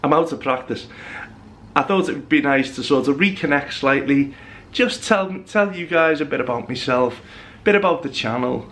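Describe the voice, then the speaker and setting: A man's voice: mid-range voice, lively intonation, moderate pace, a bit bright. A young man talks to his camera in a loose, rambling vlog. He pokes fun at himself as he goes. His voice carries a trace of a recent bout of flu. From England. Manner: chatty, self-mocking, rambling. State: recovering from flu. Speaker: vlogger. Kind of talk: casual vlog chat to camera.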